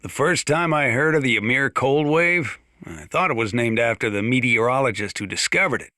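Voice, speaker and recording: An actor playing an aging small-town mountain sheriff with an American accent, folksy, rambling and dryly humorous, in a video game monologue. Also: male voice, mid-range voice, expressive, fairly quick, pushed and bright, no room sound, studio quality. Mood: bemused. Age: older.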